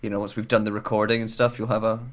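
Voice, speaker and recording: A man's voice: lowish voice, flat delivery, rapid-fire. A man chatting casually as a guest on a livestream, a voice actor who rambles enthusiastically and pokes fun at himself. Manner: enthusiastic, self-deprecating, rambling with many asides.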